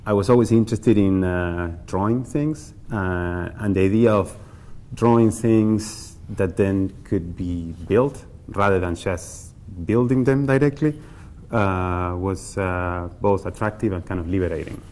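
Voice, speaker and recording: A man's voice: sits low, a little slow, a little mellow. A male architect from Argentina, answering hesitantly with many uhs in a documentary interview.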